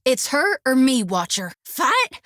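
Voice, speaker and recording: A woman voicing a devout, quick-tempered young priestess in a video game, folksy and blunt. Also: high-pitched, very animated, a bit bright, dry recording, studio quality, exaggerated voice. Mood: angry. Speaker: companion character. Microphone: dry studio mic.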